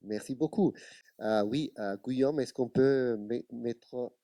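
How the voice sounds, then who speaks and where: Italian man speaking careful, halting French. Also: medium pitch, mellow, no room sound. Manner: hesitant, searching for words in French. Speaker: panelist, public TV documentary producer. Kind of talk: panel talk.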